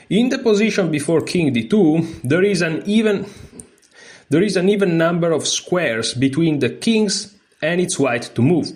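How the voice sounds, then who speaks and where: A man who is a non-native English speaker, giving a casual lesson, reading aloud and adding candid, informal asides as he thinks out loud. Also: fairly high pitch. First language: not English. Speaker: chess teacher and streamer.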